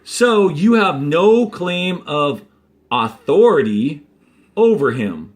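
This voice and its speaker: Man voicing a sharp, challenging woman lawyer. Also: a little high, big pitch swings, slow pace, pitched above the performer's own voice. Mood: probing. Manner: pointed, questioning.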